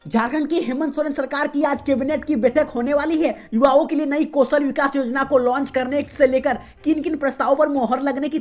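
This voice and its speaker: A woman reading the day's regional news as a host, in a steady, formal newsreader delivery. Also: sits high. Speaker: news reader. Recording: faint music behind voice.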